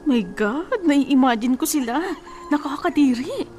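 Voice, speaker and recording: Blunt sassy cousin, high-pitched, scolding, colloquial, radio drama, faint noise. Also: female voice, expressive, unhurried, darkish tone. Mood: exasperated, scolding. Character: blunt, exasperated, sassy young female cousin. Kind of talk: Tagalog radio drama acting.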